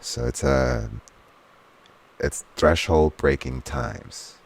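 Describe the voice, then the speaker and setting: Man, from Switzerland, Swiss German native, very low voice, earnest, spiritual wording, interview guest. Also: extremely slow, vocal fry, breathy edge. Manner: earnest, spiritual turns of phrase. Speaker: guest, soul singer and DJ. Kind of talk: casual conversational interview.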